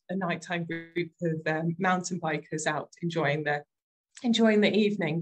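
A woman farmer giving a gentle, thoughtful webinar talk over slides, often saying "sort of" as she goes. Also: on the low side.

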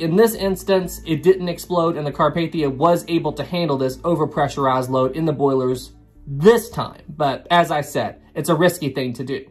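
A young man in his twenties, his voice a little rough from recovering from a cold, telling a history story to camera from a small home room. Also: a little high. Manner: earnest, repeats names often. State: slightly rough voice from recovering illness. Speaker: history channel host. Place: small home room with wood paneling and ship models.

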